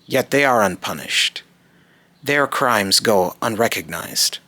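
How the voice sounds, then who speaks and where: Man voicing a stern, bright-voiced god judging mortals. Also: lowish voice, expressive, slow, dry recording, heavily acted. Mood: grave, condemning. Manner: grave, declamatory, contemptuous. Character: aloof male god Apollo condemning mortal civilization. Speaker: amateur voice actor. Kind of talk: rehearsing scripted monologue lines in retakes.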